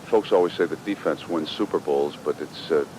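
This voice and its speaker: An old radio broadcast with clear rumbling noise features a male sports commentator. His voice is deep. He interviews conversationally, mixing questions with his own views on the game. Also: moderate pace.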